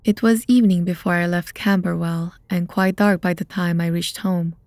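A woman's voice: lowish voice, fairly even intonation, moderate pace, darkish tone, dry recording. A woman reading scripted narration for an audio drama as its narrator. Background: music.

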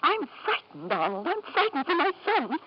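Bitter, high-strung wife, dull old radio sound. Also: female voice, wildly swooping pitch, normal speed, slight background noise, exaggerated voice. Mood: anxious, resolute. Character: bitter, weary wife and anxious mother, proud and resolute. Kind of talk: 1950s radio drama acting. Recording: dull, lo-fi 1950s radio recording.

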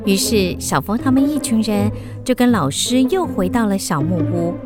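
A woman narrates a suspenseful children's story. Her delivery is theatrical and very animated in pitch, with music playing behind her. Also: normal speed.

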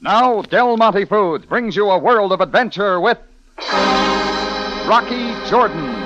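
A man's voice: high voice, animated, slow, loud music behind the voice. An American man announcing a sponsored 1950s radio adventure show in formal, scripted style. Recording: dull vintage radio recording.

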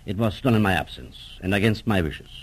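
An actor playing a suave, menacing German professor and spymaster in a radio drama, with formal, courteous and calculating speech. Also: male voice, deep voice, expressive, fairly quick. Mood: suave, sly. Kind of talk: 1940s radio drama acting.

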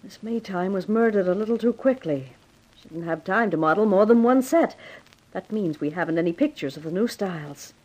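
A woman's voice: medium pitch, lively intonation, a little fast, dark tone, lightly acted, low-quality recording. An actress playing a decisive young woman business owner in a 1950s radio drama, poised, firm and formal. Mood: businesslike.

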